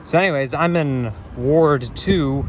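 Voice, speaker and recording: A young man with a high voice vents his frustrations with plenty of swearing. He talks into a handheld phone while walking along a city street. Heavy traffic noise fills the background. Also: a little slow. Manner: frustrated, profane venting. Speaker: vlogger. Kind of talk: walking vlog rant.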